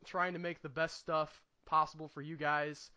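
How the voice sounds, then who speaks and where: A man's voice: on the high side, level tone, normal speed, sharp tone, smooth, no echo. A man in his late twenties chatting casually as a podcast co-host, with a joking, self-deprecating manner. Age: late 20s.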